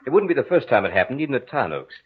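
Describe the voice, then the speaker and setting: An actor playing a brooding, obsessive, lovelorn swamp-estate owner in an old-time radio drama, intense and by turns coaxing and commanding. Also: male voice, mid-range voice, big pitch swings, racing, slightly rough. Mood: grave, evasive. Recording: muffled old radio recording with music.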